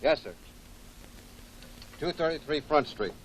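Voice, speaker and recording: A man's voice: fairly high pitch, lively intonation, unhurried. An actor playing an eager, obedient gangster henchman in a 1940s film serial, tough and clipped. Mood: dutiful. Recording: noisy old 1940s film soundtrack.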